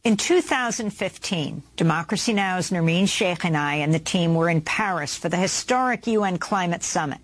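A woman anchor delivers scripted news narration in a measured, formal manner. Her voice sits on the low side. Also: lively intonation.

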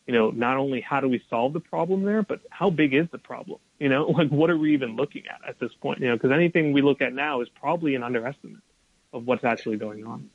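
A man's voice: mid-range voice, quick pace, breathy edge, no room sound, phone-quality sound. A man, a documentary filmmaker of Colombian family, explaining his work casually with loose restarts. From United States, Colombian family. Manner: loose, many fillers and restarts.

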